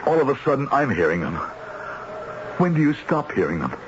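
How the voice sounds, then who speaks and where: An old radio recording, muffled and noisy, carries a man acting a reluctant hired killer. His mid-pitched voice swings dramatically up and down, emphatic and conflicted. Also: big pitch swings, a little fast, natural voice. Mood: haunted. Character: reluctant contract killer, practical man turning conflicted and anguished.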